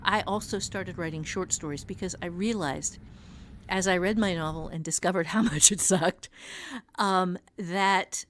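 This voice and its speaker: A woman's voice: on the low side, measured pace. A woman author and writing teacher chatting on a podcast, candid and self-deprecating with a mild curse now and then. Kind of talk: casual podcast chat.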